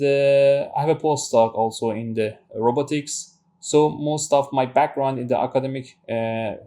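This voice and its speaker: A man in his thirties from Iran with a Persian accent, Persian his native tongue, teaching a robotics tutorial with frequent pauses and restarts. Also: mid-range voice, warm tone. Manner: hesitant, many fillers and restarts. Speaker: robotics instructor. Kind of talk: screen-share tutorial lecture.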